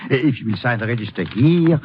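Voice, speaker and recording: An actor playing an obliging Alpine innkeeper in an old radio drama, with a German accent and a courteous, singsong manner. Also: male voice, medium pitch, animated, a little fast, natural voice. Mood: welcoming. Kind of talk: 1950s radio drama acting. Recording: muffled, faintly noisy old radio recording.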